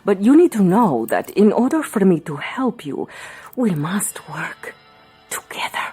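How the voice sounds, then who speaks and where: A woman playing a commanding, mystical palm reader in an audio drama, coaxing with formal phrases and dramatic, hushed pauses. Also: mid-range voice, very animated, slightly rough, full character voice. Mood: ominous, urgent. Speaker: fortune teller. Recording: music under the voice.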